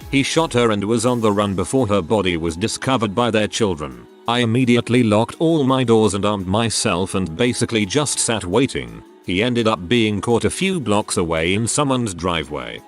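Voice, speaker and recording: A man reading online stories aloud as scripted narration. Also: fairly low pitch.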